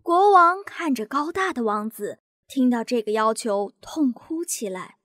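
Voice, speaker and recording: Lively high-voiced woman storyteller. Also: measured pace, dry recording, clean studio sound. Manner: lively, expressive. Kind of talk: scripted bedtime story narration.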